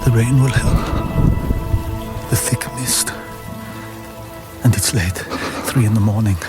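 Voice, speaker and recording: An actor playing a desperate man set on fleeing across a guarded border, speaking in hushed, intense, halting phrases in a radio drama. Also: lowish voice. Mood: tense, hushed. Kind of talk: radio drama inner monologue. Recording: loud music under the voice.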